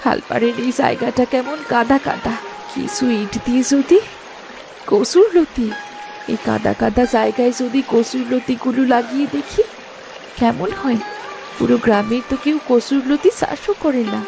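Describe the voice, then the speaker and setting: A woman's voice: a little high, normal speed, gravelly, poor audio. A woman voicing a meek, long-suffering young village daughter-in-law in a cartoon, plaintive and hesitant. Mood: curious, hopeful. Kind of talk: cartoon moral story voice acting. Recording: loud background music.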